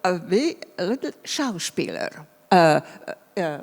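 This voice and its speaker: A composer from former Yugoslavia, a woman whose first language is Hungarian, speaking halting English with a Hungarian accent and searching for words. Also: lowish voice, big pitch swings. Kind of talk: hesitant panel talk. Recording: reverberant hall.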